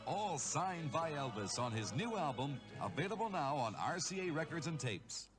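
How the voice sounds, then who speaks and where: A man's voice: a little high, big pitch swings, normal speed. A man reading a scripted radio advertisement for a record album as a commercial announcer. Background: loud music.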